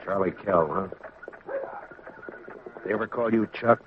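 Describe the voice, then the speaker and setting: A low-voiced stern frontier marshal. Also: male voice, unhurried, noisy background. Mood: firm warning. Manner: terse, authoritative, deadpan. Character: stern, steady frontier U.S. marshal, middle-aged man. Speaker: lead actor and narrator. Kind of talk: 1950s western radio acting and narration. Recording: dull vintage radio recording.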